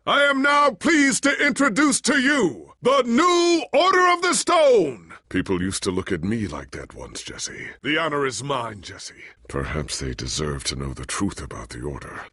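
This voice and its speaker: An actor playing a proud but anxious, forgetful legendary warrior in a video game, with an earnest, formal, theatrical delivery. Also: male voice, high-pitched, pushed and bright, dry recording, clean studio sound, heavily acted. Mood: proud, declaiming, then confessional, regretful. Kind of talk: dramatic video game voice acting.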